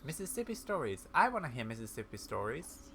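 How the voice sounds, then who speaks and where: A man's voice: mid-range voice, big pitch swings, a little fast, a bit bright, slight background noise. A man voicing an eager young child from a video game, insistent and pleading for stories.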